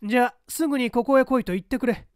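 A man voicing a pompous, brisk older government chief and bluff father with old-fashioned gentlemanly speech in an audiobook story. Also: very high voice, clean tone, no room sound, clean studio sound, voice pushed up. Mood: brisk, impatient.

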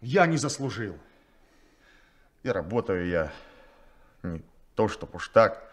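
An actor playing a simple, earnest and proud sailor in a 1970s Soviet radio play, talking in a colloquial, halting way. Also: male voice, on the low side, lively intonation. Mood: troubled, protesting.

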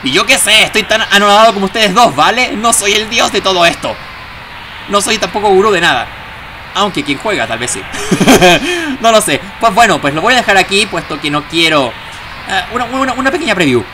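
A man's voice: high voice, big pitch swings, brisk, background noise. A man hosting a gaming video with casual let's play commentary, full of chatty, jokey asides.

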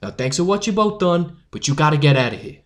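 A tough young newsboy leader, protective and stern, talking in rough street slang, as a young man plays him. His voice moves up and down a lot while he scolds. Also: fairly high pitch, sing-song, fast talker. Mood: scolding.

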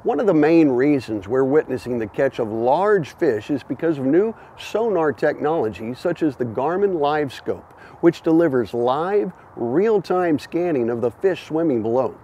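Man in his forties, show host, animated intonation, dark warm tone, presenting outdoors. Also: on the high side, moderate pace, few pauses. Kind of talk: presenting a segment to camera. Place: outdoors in front of a wooden shed.

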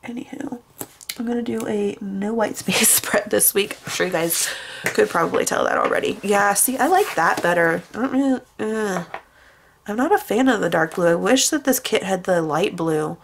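A woman's voice: medium pitch, expressive, moderate pace, creaky, slight background noise. A young woman with a stuffy, nasal voice, rambling in casual chat while she decorates a planner at her desk. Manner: rambling, chatty, with many fillers. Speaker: planner vlogger. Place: at a desk indoors, hands on a planner.

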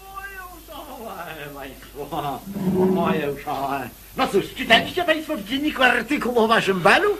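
A man playing a pompous, excitable party organiser who boasts and bustles, in a radio comedy. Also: sits high, normal speed, background noise. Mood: self-important. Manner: pompous, excitable, self-important. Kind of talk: theatrical radio comedy acting.

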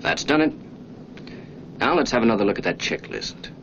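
A man's voice: mid-range voice, sing-song, moderate pace, creaky, fairly bright tone. An actor in his thirties playing a self-assured trainee flight engineer, clipped and procedural, in a 1940s training film. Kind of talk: 1940s training film acting, checklist recitation. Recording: muffled, noisy old film soundtrack.

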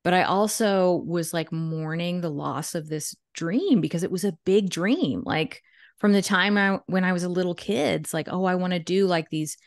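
A woman's voice: fairly low pitch, moderate pace, dry recording, studio quality. A woman in her thirties from the United States, a podcast guest telling her story in a chatty way, laughing often and restarting her sentences. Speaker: podcast guest, chicken educator. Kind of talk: casual interview storytelling. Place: home room with bookshelves. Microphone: studio mic on video call.

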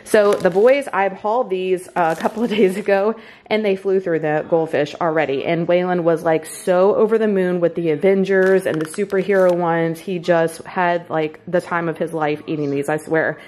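A woman's voice: lowish voice, fairly even intonation, normal speed, darkish tone. A woman chatting casually through a grocery haul in her kitchen, upbeat and talking straight to viewers. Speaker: vlogger showing a grocery haul. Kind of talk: casual chat. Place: home kitchen, indoors.

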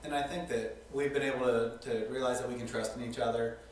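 A middle-aged man, a restaurant co-owner, answering interview questions in a halting way with many fillers. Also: medium pitch, fairly even intonation, far from the mic, slight background noise. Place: small studio on a couch. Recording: echoey room from off mic.

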